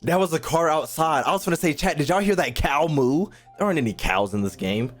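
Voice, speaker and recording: High-voiced, animated male game streamer. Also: fairly quick, faint music behind. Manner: animated, profane, slangy, repeats phrases. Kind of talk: casual live gaming commentary.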